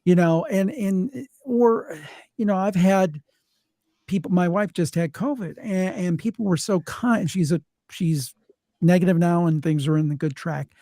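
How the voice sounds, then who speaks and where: A man's voice: high-pitched, a little slow, dark tone, no room sound, studio quality. A man who podcasts, telling anecdotes in casual chat with a folksy, conversational manner.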